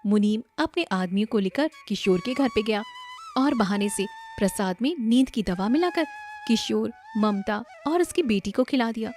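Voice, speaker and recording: A woman's voice: on the high side, animated, normal speed, darkish tone, faint music behind. A woman narrating a scripted children's story.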